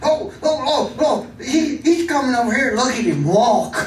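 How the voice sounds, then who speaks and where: An actor playing an excitable, folksy frontier sidekick with a rural American accent, stammering and repeating his words in a radio western. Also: very high-pitched, takes it slowly, full character voice. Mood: excited, amused. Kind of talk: old-time radio western acting. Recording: echoey, distant, noisy old radio recording.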